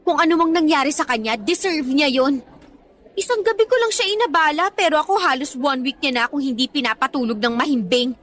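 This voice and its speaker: High-pitched, anxious young Filipina. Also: female voice, a little fast, a bit bright. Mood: defiant. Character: anxious, defiant young woman haunted by threatening texts. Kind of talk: Tagalog radio drama acting.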